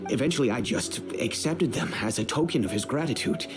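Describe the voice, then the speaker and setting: An actor playing a middle-aged, remorseful wealthy merchant and father in a video game, speaking in a measured, earnest, formal way. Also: medium pitch, lively intonation, over music, close to an everyday voice. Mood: earnest, reflective. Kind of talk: dramatic video game dialogue.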